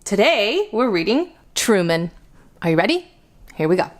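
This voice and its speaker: A woman hosting a children's storytime, reading a picture book aloud in an expressive, deliberate storytelling manner. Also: mid-range voice. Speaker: children's storytime host and reader.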